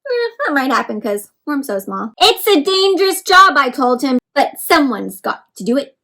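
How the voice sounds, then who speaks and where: A woman with a high voice reads a picture book aloud to young children. She speaks like an encouraging teacher, talking straight to her listeners, asking them questions and explaining words simply. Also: big pitch swings, normal speed, bright, forceful tone. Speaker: read-aloud storyteller for children.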